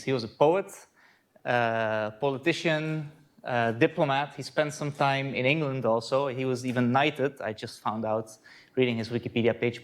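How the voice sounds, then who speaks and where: A man from the Netherlands with a Dutch accent giving a conference talk on astronomy, chatty and relaxed, often checking in with "right?". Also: mid-range voice. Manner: conversational, frequent "uh" and "right?" asides. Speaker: astronomy lecturer.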